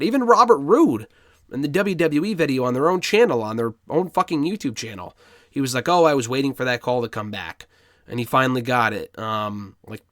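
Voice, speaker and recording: A man's voice: medium pitch, a bit bright, no room sound, studio quality. A congested man with a cold, giving a blunt, opinionated review with frequent ums and the odd swear word. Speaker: wrestling review podcaster. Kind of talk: casual solo review commentary.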